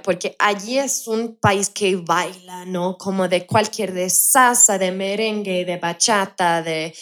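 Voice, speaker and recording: A woman whose first language is English, speaking Spanish she learned in Colombia with an English accent, talking casually as a podcast guest and often pausing to search for words. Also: medium pitch. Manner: thoughtful, searching for words, many restarts.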